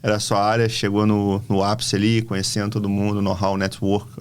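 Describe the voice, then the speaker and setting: Low-voiced interviewer, rambling questions. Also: male voice, brisk, clear, resonant tone. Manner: long rambling questions, relaxed. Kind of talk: casual conversational interview.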